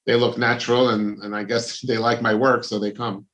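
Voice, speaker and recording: A middle-aged man from Lebanon speaks as a plastic surgeon being interviewed, his voice sitting in an ordinary middle pitch. He explains at a measured pace. He fills his pauses with uh and um while he searches for the right word. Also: flat delivery, a bit bright, lo-fi sound. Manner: measured, explanatory, many fillers. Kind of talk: interview answers.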